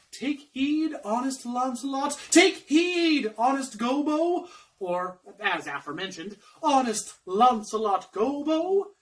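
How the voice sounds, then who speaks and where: A man's voice: sits high, fairly bright tone, far from the mic, pitched above the performer's own voice, exaggerated voice. A man performing a comic Shakespearean monologue as a dithering young servant torn between arguing voices. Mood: torn, playful. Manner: theatrical, dithering, switching between argued voices.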